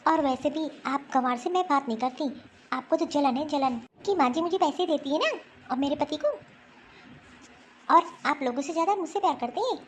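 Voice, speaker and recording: A woman's voice: high-pitched, slight background noise. A woman playing a proud young wife, the younger daughter-in-law, who taunts her sister-in-law cheekily. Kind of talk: homemade family skit acting.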